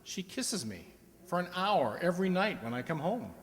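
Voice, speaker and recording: Man acting animated, put-upon middle-aged husband. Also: high voice, normal speed. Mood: weary, complaining. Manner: complaining, sighing. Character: weary middle-aged husband worn out by adoring wife. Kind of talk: old-time radio acting. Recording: echoey hall stage recording.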